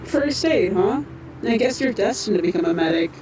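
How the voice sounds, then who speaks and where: A woman's voice: mid-range voice, expressive, normal speed, darkish tone, distant mic, slight background noise, natural voice, low-quality recording. A woman playing a friendly, helpful robot medic in an audio drama, with an easygoing conversational manner. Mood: friendly, teasing. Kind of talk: fan audio drama acting.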